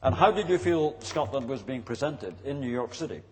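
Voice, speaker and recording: A man's voice: mid-range voice, expressive, brisk, husky. A man chairing a public forum, calling on panellists and audience members by name and prompting them with questions. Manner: calls on people by name, asks prompting questions. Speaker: forum moderator. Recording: long hall reverb.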